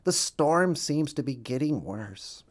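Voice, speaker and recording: A man voicing a brave male mallard duck husband, gentle and steady, in a storybook reading. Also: on the high side, slow pace, pitched above the performer's own voice. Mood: weary, reassuring.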